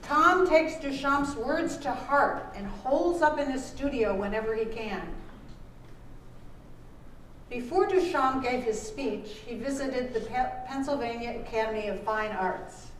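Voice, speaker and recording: Slow, high-voiced woman in an echoey room. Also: a bit bright. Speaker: author reading aloud at a book launch. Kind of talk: live reading of a scripted narration. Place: indoor launch performance, live event. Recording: echoey room, distant mic, faint noise.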